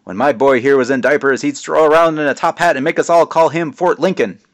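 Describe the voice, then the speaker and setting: A man voicing a loud, joking father who loves embarrassing his son, booming and theatrical. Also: a little fast, close to an everyday voice. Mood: hammy. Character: loud, joking, embarrassing middle-aged dad.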